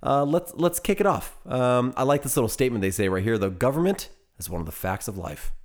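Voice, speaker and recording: A man's voice: mid-range voice, animated, fairly quick. An American man speaking casually as a podcast co-host, in a chatty, bantering style.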